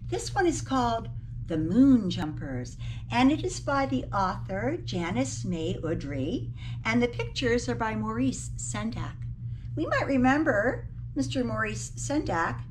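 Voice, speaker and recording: Female teacher, middle-aged, expressive picture-book read-aloud for young kids. Unhurried, animated intonation, noticeable noise on the recording. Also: a little high. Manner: slow, deliberate phrasing as if addressing young children. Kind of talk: expressive children's story read-aloud with short asides. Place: indoor classroom beside a large screen. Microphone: camera a few feet away. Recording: clearly noisy room recording, camera mic.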